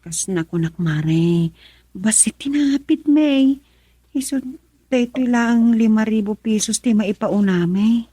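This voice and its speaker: Poor rural mother, Ilocano accent, radio drama. Husky, apologetic, hesitant, dry studio sound. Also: a little high, slow, warm tone, dry recording. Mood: apologetic, weary. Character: hard-up farmer's wife and mother, apologetic and weary.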